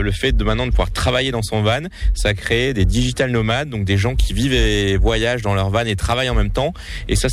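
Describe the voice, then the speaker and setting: A man with a low voice answers questions as a guest filmmaker. He explains earnestly and often restarts mid-sentence to find the right words.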